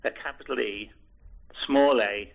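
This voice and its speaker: Creaky old man on a phone line. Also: medium pitch. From Liverpool, England. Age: 80s. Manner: hesitant, halting, with many restarts. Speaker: oral history interviewee. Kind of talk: rambling reminiscence. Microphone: telephone.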